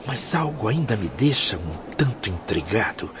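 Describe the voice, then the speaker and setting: A man's voice: on the low side, very animated, moderate pace. A man playing a hesitant, intimidated patient in a radio drama, deferential and formal. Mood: hesitant, troubled. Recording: dull lo-fi audio, clear background noise.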